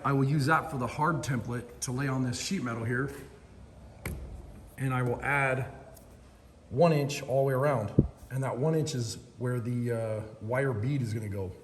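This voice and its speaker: A hoarse middle-aged man hosting a casual, rambling walkthrough of his metal fabrication shop, filmed on a handheld phone. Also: medium pitch, normal speed. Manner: rambling, filler-heavy, plainspoken. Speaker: host. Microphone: phone camera held in hand. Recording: reverberant shop with faint background noise.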